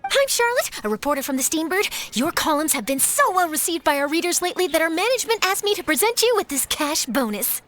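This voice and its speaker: A woman's voice: very high voice, very animated, fast, few pauses, a bit bright, exaggerated voice. A woman voicing an eager young female news reporter in a video game, perky, persuasive and theatrical. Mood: bright, polite. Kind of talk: animated video game voice acting.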